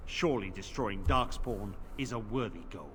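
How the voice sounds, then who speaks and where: A man's voice: fairly low pitch, animated, moderate pace, a bit bright, a little hiss or hum. An actor playing a stern male templar commander who gives solemn warnings, heard as game audio with a long reverb. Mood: grave. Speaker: game character.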